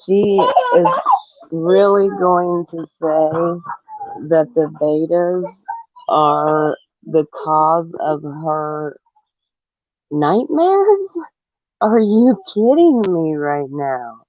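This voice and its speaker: A woman giving reaction commentary over a phone-like connection, emphatic and incredulous, with drawn-out pauses. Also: deep voice, no echo. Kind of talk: spoken reaction commentary in a group chat. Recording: dull, dry, phone-like audio.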